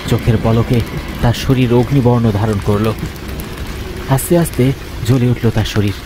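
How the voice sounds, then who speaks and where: A man's voice: medium pitch, steady tone, normal speed. A man narrating a horror cartoon story in the first person, dramatic and frightened, recorded with background noise. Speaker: narrator and voice actor.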